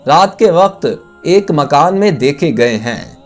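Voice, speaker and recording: A man's voice: a little high, lively intonation, takes it slowly, faint music behind. A man voicing a stern male magistrate in a storybook tale, formal and accusing.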